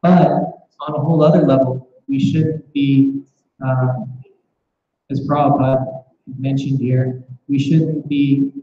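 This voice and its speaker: Mellow, monotone male class speaker, reflective and halting, recorded muffled in an echoey room. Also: mid-range voice, slight background noise. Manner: reflective, restarts with repeated words. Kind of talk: scripture class with questions.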